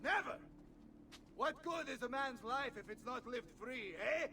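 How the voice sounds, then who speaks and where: An actor voicing a boisterous, fierce middle-aged mercenary leader, bellowing and swaggering in a game. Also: male voice, lively intonation, unhurried, pushed and bright, big-room sound, full character voice. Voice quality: gravelly, booming. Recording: game audio with ambient noise underneath.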